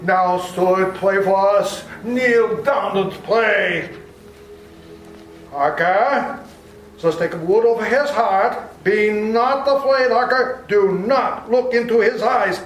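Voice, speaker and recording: A man playing urgent, high-pitched vampire hunter. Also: slow, slight background noise. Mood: urgent command. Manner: dramatic, urgent, emphatic. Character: urgent, commanding male vampire hunter narrating the chase. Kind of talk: old-time radio drama acting. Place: live performance in a public library. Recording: roomy live stage, music behind.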